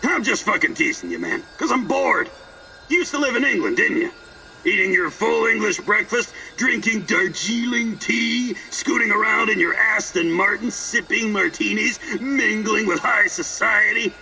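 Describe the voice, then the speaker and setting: A man's voice: high voice, normal speed, vocal fry, sharp tone, recorded from a distance, heavily acted, low-quality recording. An actor playing a manic, teasing, foul-mouthed eccentric man in a video game, telling rambling stories in a mocking, theatrical way. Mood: gleeful teasing. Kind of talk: video game character acting.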